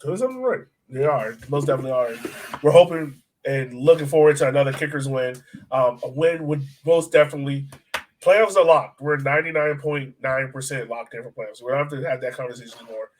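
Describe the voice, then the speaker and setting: Male podcast co-host, medium pitch, informal soccer talk, emphatic and repeating himself for stress. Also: fairly quick, a little hiss or hum. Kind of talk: casual sports discussion.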